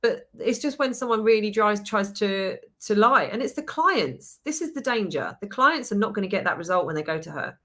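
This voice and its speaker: English woman guest, bright and chatty, rambling storyteller. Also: medium pitch, normal speed, few pauses. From United Kingdom. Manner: chatty, lively storytelling with laughs. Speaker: guest, permanent makeup trainer. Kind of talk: casual podcast chat.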